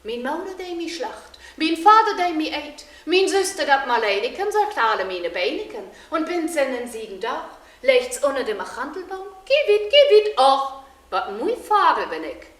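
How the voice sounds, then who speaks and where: A woman voicing a small, proud magic songbird that sings its verses high and loud and speaks its bargains firmly. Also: expressive, a little slow. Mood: singing. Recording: roomy echo, faint noise.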